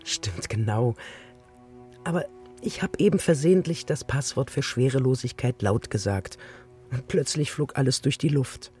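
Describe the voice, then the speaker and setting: An older woman playing a spirited, affectionate grandmother in a radio play, talking in a lively way. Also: very deep voice, moderate pace. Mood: gentle, casual. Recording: reverberant hall, music behind.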